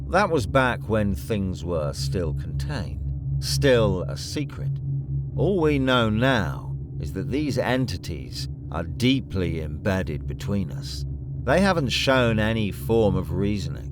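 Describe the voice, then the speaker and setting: A man's voice: fairly low pitch, sing-song, a little slow, music in the background. An actor playing a grim male task force leader in an audio drama, speaking in a terse, commanding military manner. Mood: grave. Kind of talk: scripted audio drama narration and briefing.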